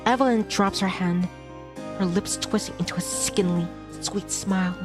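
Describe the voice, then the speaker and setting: A woman's voice: mid-range voice, normal speed. Woman narrating a visual novel over music. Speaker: VTuber streamer reading a visual novel aloud. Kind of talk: dramatic first-person narration of a game script. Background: game soundtrack music.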